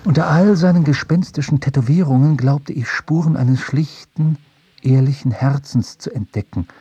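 An actor playing a reflective sailor who narrates a radio play in a measured, contemplative literary manner. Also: male voice, mid-range voice, mellow, husky. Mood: tender, reflective. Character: reflective sailor narrator recalling his voyage.

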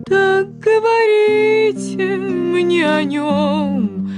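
A woman's voice: very high-pitched, extremely slow, loud music behind the voice. A woman singing a sentimental, old-fashioned romance song.

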